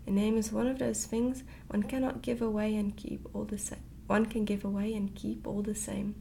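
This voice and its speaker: A woman voicing a kindly, mysterious old grandmother queen, calm and half-whispered, in a storybook reading. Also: medium pitch, moderate pace, vocal fry, a little hiss or hum, close to an everyday voice. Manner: calm, patient, gently cryptic. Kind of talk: hushed ASMR storybook reading.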